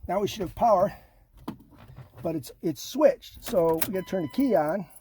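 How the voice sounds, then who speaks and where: High-pitched, mellow man. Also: expressive, moderate pace. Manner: thinking aloud while working, folksy. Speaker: DIY car project host. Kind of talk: casual hands-on how-to narration. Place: inside a vehicle cabin, doors open. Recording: clear background noise, handling clicks.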